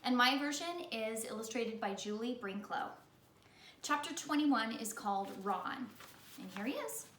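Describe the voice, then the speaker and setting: A woman reading a children's story aloud in the animated style of a teacher. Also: fairly high pitch, unhurried, recorded from a distance, a little hiss or hum. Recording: echoey room.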